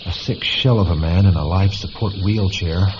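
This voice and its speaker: A man playing a matter-of-fact space station chief in a science fiction radio drama, measured and explanatory with dry humor. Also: deep voice, background noise, natural voice. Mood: grave, matter-of-fact. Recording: muffled lo-fi audio with music behind.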